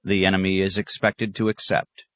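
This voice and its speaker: A man's voice: deep voice, little pitch movement, normal speed, no room sound, close to an everyday voice. A man voicing an urgent, formal radio emergency news announcer in an audiobook. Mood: urgent, official. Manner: formal, crisp bulletin delivery.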